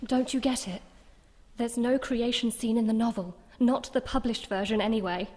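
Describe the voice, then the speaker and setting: Actress as an earnest, higher-voiced young woman. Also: fairly even intonation, normal speed, darkish tone, faint music behind, natural voice. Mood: intrigued. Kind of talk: radio play dialogue acting. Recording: hall reverb.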